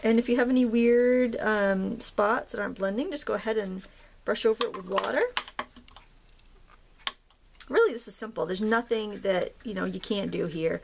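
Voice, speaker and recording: A woman hosting a craft tutorial, chatting in a relaxed, rambling way as she paints. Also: mid-range voice, normal speed, vocal fry, a little hiss or hum. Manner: relaxed, rambling, with fillers and soft laughs. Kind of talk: casual chatty tutorial narration.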